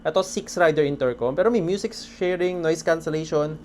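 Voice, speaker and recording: An excitable Filipino man chats casually to his vlog audience in an appreciative manner. His voice sits at an ordinary level of brightness, with some ambient noise behind him. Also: a little high, a little fast. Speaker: motorcycle vlogger. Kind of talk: casual vlog talk to camera. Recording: noisy background ambience.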